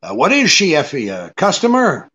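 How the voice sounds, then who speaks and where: An actor playing a hard-boiled, wry private detective with laconic, slangy delivery in a 1940s-style radio drama. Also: male voice, on the high side, wildly swooping pitch, normal speed, sharp tone, slightly rough, highs cut off, full character voice. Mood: breezy.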